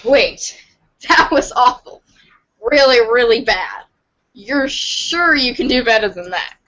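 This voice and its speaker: A woman's voice: on the high side, animated, slow pace, sharp tone, lo-fi sound. A woman reading second-person comic narration aloud for a podcast. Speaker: reader in a webcomic reading podcast.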